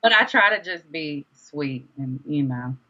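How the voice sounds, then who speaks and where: Casting: young female interview guest, very low register, candid and emphatic, casual delivery, muffled lo-fi recording. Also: expressive, unhurried, sharp tone. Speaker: guest, independent music artist. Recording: dull, lo-fi sound.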